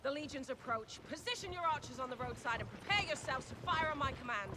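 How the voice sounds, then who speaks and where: A fierce young barbarian warrior princess in a game cutscene, barking terse orders to her archers. Her voice rides high and swings widely in pitch. Loud noise sits under the line. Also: lively intonation, fast. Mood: commanding. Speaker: warrior leader commanding archers. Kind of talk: video game cutscene acting.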